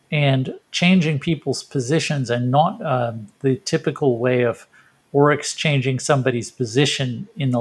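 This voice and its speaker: A man's voice: fairly high pitch, husky. A man co-hosting a sports podcast, giving casual, reflective baseball commentary and thinking aloud.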